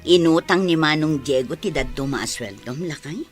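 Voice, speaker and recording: A woman's voice: low voice, normal speed, music in the background, natural voice. Woman acting as kind, concerned village wife. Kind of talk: Filipino radio drama acting.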